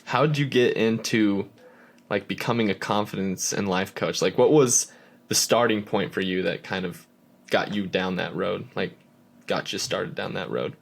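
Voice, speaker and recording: A man's voice: low voice, normal speed, vocal fry. A man hosting a podcast, asking questions in a friendly, curious way with casual fillers like "kinda" and "right?". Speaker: podcast host. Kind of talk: casual interview chat.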